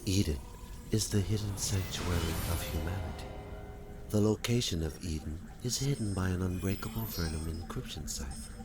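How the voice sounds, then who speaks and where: An actor playing a calm, formal artificial intelligence, a steward of humanity, giving measured and precise game briefings. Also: male voice, low voice, music in the background, lightly acted. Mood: formal, commanding.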